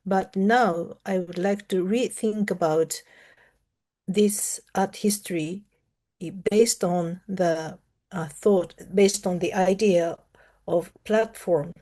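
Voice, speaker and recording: A woman's voice: on the low side, slow, warm tone. A woman professor who grew up in Japan, giving a hesitant self-introduction at an online briefing, with many fillers and restarts. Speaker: professor and former museum curator.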